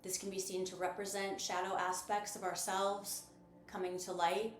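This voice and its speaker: A woman leading a guided meditation as host, speaking in a measured, instructive and gently encouraging manner. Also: mid-range voice, flat delivery, slight background noise. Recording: roomy echo with music behind. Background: music.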